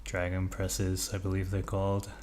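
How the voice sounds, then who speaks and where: A young English man who grew up in Norway, vlogging in a relaxed, hesitant way with many ums and uhs while he stretches at home. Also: low-pitched, normal speed, a little hiss or hum. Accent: English with Norwegian upbringing. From England. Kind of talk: casual vlog narration.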